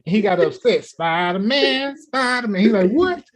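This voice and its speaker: Higher-pitched man, joking co-host. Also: expressive, normal speed. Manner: joking, opinionated. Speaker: talk show co-host. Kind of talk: casual chat.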